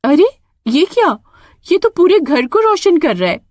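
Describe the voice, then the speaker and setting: A woman voicing a kind, soft-hearted village mother in a storybook tale, with an expressive, pleading way of talking. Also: very high voice, measured pace, dry recording, clean studio sound, voice pushed up, heavily acted. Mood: amazed.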